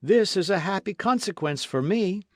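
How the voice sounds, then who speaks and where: A bold, playful adventurer, put on by a male audiobook reader speaks in a high, loud, sing-song voice. He sounds supremely confident, almost teasing. The sound is dry and close. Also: lively intonation, normal speed, dry recording. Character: bold, witty adult Frenchman adventurer. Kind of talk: audiobook character reading.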